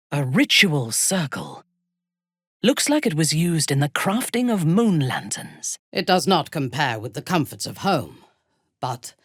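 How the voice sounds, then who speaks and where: A woman voicing a ruthless, haughty exiled dark elf warrior in a video game, commanding and menacing, with formal diction. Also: low voice, no room sound, studio quality, exaggerated voice. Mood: cool, measured, then imperious, composed. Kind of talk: video game character voice acting.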